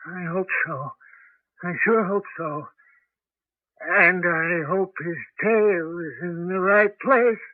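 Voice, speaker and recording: Elderly tinkering grandpa for radio drama, high-voiced, folksy, wary and fussy, heard through a tinny old broadcast. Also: male voice, slow. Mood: worried. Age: older. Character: cautious, fussy old grandfather, amateur scientist with a dog. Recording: tinny, band-limited 1980s radio broadcast.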